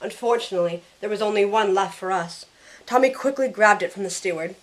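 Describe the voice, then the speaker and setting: A woman acts out a caring young heroine telling her own story in an audio drama. It is scripted first-person narration. Her voice has a medium pitch. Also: a little fast, lightly acted, poor audio. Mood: tense narration.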